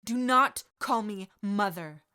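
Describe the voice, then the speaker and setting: A woman's voice: medium pitch, slow, bright, forceful tone. A woman voicing a cold, haughty aristocratic witch mother who gives clipped, disdainful orders.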